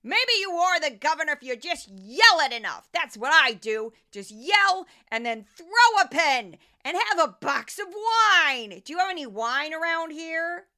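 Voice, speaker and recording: Brash, boozy TV judge, very high-pitched. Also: female voice, very animated, unhurried, few pauses, pushed and bright, exaggerated voice. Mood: blustering. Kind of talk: satirical radio play.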